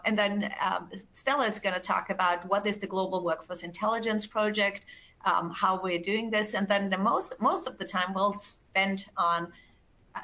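A woman's voice: mid-range voice, sharp tone. A woman with an Austrian accent presenting research slides in a webinar over a video call, enthusiastic and often restarting words. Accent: Austrian German.

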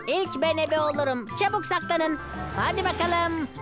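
A woman's voice: very high-pitched, moderate pace, over loud music. A woman voicing a playful, cheeky young bus character in a children's cartoon dub, with exaggerated exclamations.

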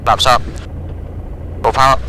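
A man's voice: mid-range voice, flat delivery, a little slow, bright, forceful tone. A young man training as a pilot, making brief calls and readbacks into a headset in a small airplane. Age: young adult. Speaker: student pilot. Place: small airplane cockpit in flight. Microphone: aviation headset mic. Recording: faint aircraft engine noise.

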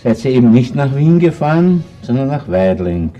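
An actor playing a shrewd, unhurried Viennese police inspector in a radio crime play, with an Austrian accent. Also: male voice, mid-range voice, very animated, dark tone, dull audio, faint music behind, natural voice. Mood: calm, understanding. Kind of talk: naturalistic radio crime drama acting.

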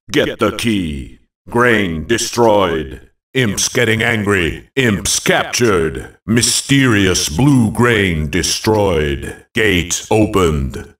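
An actor playing a bold, dramatic video game announcer, making theatrical, punchy calls. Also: male voice, fairly low pitch, a bit bright, roomy sound, distant mic. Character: booming male arcade-style game announcer, bold and dramatic.

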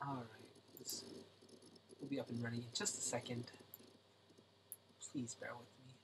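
Male streamer, mid pitch, slightly raspy, hushed casual chat, faint background noise. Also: level tone, normal speed. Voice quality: speaks softly to keep voice down. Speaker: livestream host drawing. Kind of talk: casual late-night livestream chat. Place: home at night.

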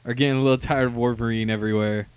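Young male co-host, smooth crisp voice, dry close sound, conversational review commentary. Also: mid-range voice, steady tone, rapid-fire, a little mellow, no echo. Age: young adult. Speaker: podcast co-host. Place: small indoor room. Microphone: handheld microphone.

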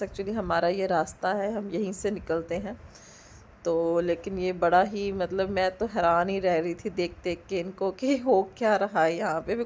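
A woman in her thirties with a hoarse throat from a cold, chatting loosely in a casual vlog voice-over from home and mixing in English words. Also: medium pitch, darkish tone, slight background noise. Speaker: vlogger. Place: indoors at home, recording the voice-over. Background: a dog barking now and then.

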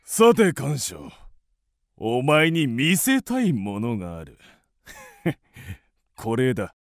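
Man acting pompous king, slow, swooping delivery. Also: medium pitch, huge pitch swings, heavily acted. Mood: sly. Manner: pompous, deliberate. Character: scheming, imperious king of ancient China. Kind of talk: radio drama acting.

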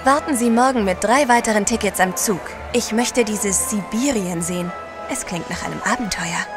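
An actress playing a self-assured, adventurous gentleman's wife, poised and assertive, in a German audio drama. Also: medium pitch, normal speed, over music, lightly acted. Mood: bold, determined.

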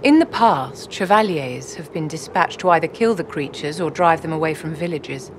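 An actress playing an elegant, secretive court enchantress in a fantasy game, with a haughty, polished, formal manner. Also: female voice, deep voice, normal speed, background noise, close to an everyday voice. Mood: formal, gracious. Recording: clearly audible background ambience.

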